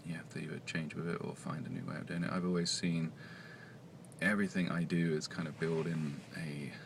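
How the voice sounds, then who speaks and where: Very deep, gravelly soft-spoken man. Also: flat delivery, brisk, noisy background. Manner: quiet, soft-spoken, hesitant with many fillers. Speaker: independent comic writer. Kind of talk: casual vlog monologue.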